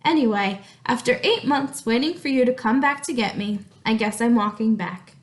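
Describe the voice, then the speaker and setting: Abandoned female storybook crayon, played by a woman: high, indignant, dramatically reproachful. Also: expressive, normal speed, close to an everyday voice.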